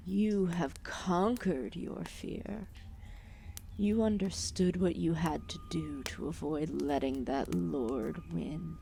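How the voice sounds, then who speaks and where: A woman voicing an ancient, lethargic serpent goddess, protective and calmly menacing, in a formal, soothing, intimate ASMR roleplay with whispered phrases. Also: lowish voice, creaky, slight background noise. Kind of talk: ASMR roleplay, intimate soft-spoken acting.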